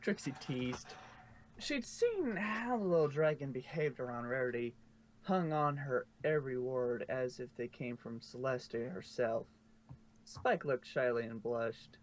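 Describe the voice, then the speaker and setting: A man's voice: mid-range voice, measured pace, low-quality recording. A man reading scripted story narration aloud as the narrator. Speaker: narrator of a fan fiction reading. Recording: faint background noise.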